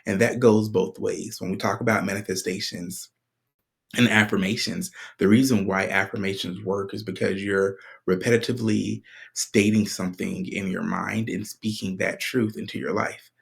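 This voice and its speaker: Man, podcast host, deep gravelly voice, laid-back explainer, frequent throat clearing. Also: moderate pace. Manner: relaxed, conversational, often clears his throat. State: recovering from a sore voice. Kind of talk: casual spiritual explainer.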